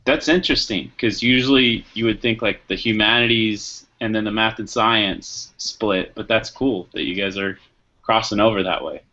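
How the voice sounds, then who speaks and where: A man with a slightly low, bright and forceful voice chats casually as a facilitator in an online group. He is encouraging and helpful. The sound is that of a lo-fi video call with dulled detail. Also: fairly even intonation, moderate pace. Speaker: discussion facilitator, educator.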